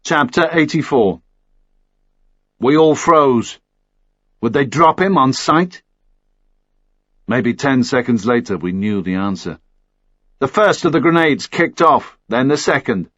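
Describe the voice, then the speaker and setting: A man's voice: medium pitch, lively intonation, slow pace, pauses often, fairly bright tone, no echo, lo-fi sound. A man reading a first-person action thriller as scripted audiobook narration.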